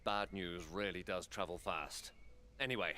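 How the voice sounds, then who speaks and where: Harried, low-voiced statesman. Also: fairly even intonation, moderate pace, sharp tone, clean tone. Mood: exasperated, grave. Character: earnest, harried male council statesman under political pressure. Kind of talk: fantasy game voice acting.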